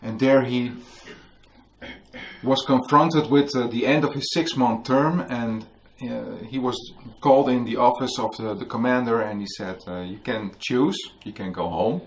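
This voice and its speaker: A man from the Netherlands with a Dutch accent, telling a story to an audience at a book talk and often pausing to search for English words. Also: medium pitch, a little hiss or hum, poor audio. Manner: hesitant, searching for English words, many fillers. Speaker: author giving a book talk. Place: museum hall with a live audience.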